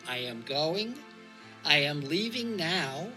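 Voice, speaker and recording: A woman playing a jovial, eccentric elderly male hobbit in an audio drama, orating in a grand, deliberate manner. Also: sits low, lively intonation, sharp tone, faint music behind, full character voice. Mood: wry, formal. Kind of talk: theatrical audio drama speech.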